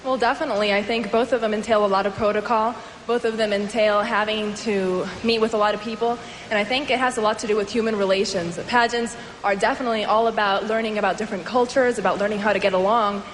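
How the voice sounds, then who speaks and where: Young woman contestant, fast and articulate, with hall reverb and faint noise. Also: medium pitch, steady tone. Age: 20s. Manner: confident and articulate. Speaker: pageant contestant. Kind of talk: onstage interview answers.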